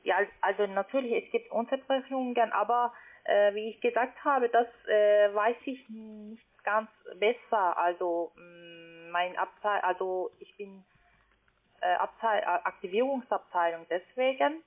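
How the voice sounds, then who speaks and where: A call centre agent, a woman speaking with a foreign, non-native accent, halting and searching for words on a phone call. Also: mid-range voice. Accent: foreign, non-native German. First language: not German. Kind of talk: unscripted phone sales call. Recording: narrow tinny phone line.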